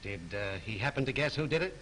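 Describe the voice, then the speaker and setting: Brief: a man as a wisecracking homicide cop, mid-pitched and dry, on a faintly hissy old film soundtrack. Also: lively intonation, quick pace, a bit bright, lightly acted. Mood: sardonic. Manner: dry, sardonic questioning. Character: sardonic male police lieutenant, wry and skeptical. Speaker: homicide detective. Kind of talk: 1930s film acting.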